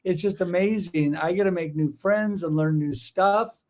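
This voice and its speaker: A man's voice: sits high, normal speed, a little mellow. A man hosting a casual video-call podcast, enthusiastic and effusive, rambling a little with fillers. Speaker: podcast host. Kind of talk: casual interview chat.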